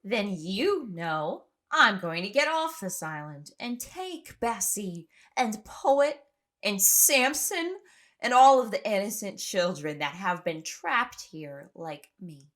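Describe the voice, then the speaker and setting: A woman's voice: medium pitch, very animated, slow pace, fairly bright tone. A woman acting in an audio drama as an anxious, exhausted young woman trapped on an island, speaking with emotional intensity. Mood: defiant. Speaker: lead actor in an audio drama. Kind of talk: audio drama acting, first-person recollection and dialogue.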